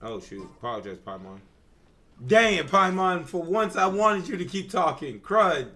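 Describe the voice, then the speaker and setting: A man's voice: high voice, very animated, moderate pace, bright, forceful tone. A man giving casual live gaming commentary as a streamer, slangy and exclamatory. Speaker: streamer reacting to a game.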